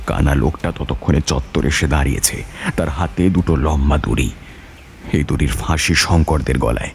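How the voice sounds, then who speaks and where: Very deep male suspense storyteller. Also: moderate pace, vocal fry, slight background noise. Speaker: story narrator. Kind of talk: dramatic scripted narration of adventure story.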